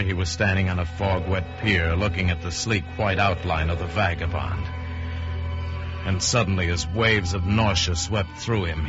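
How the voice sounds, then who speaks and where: A man giving dramatic scripted narration for an old-time radio drama. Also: low voice. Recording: dull vintage radio recording, music behind.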